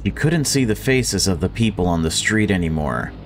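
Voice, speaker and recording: A man's voice: deep voice, expressive, normal speed, soft background music. A man reading visual novel story text aloud and adding casual commentary as a gaming video creator.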